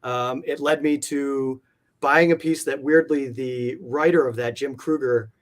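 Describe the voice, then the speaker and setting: A man's voice: mid-range voice, steady tone, a little slow. A man being interviewed, telling stories in a casual, meandering way with plenty of informal filler words. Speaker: interview guest, comic art collector. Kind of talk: casual conversational storytelling.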